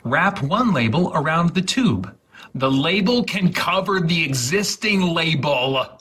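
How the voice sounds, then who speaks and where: A man giving a deliberate, dramatic reading of step-by-step instructions with exaggerated enunciation, in a husky, breathy voice. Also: on the high side, expressive, a bit bright. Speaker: host.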